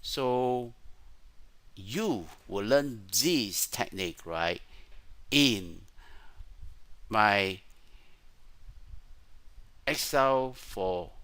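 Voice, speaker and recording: Male software trainer, Malaysian accent, lowish pitch, slow delivery, keeps checking in with "right?". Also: lively intonation. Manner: frequent "right?" tag questions. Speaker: Excel trainer. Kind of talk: screen-share software tutorial with sales pitch. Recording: music playing behind the voice. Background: clear background music.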